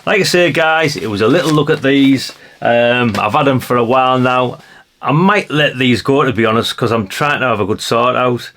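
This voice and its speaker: A man's voice: medium pitch, normal speed, fairly bright tone. A man giving a casual hands-on demonstration of gadgets in an offhand, matter-of-fact way, often tagging his remarks with questions. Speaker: vlogger reviewing vintage radios.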